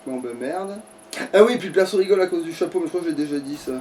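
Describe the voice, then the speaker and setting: A man's voice: on the high side, fast talker, a little mellow. A man giving casual let's play commentary, thinking aloud in a grumbling, foul-mouthed way. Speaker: gaming streamer. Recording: distant mic with background noise.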